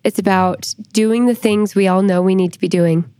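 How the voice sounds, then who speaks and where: A woman speaking as a church historian guest on a podcast, explaining things in a measured, deliberate manner. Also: mid-range voice, steady tone, warm tone, clean tone, dry recording.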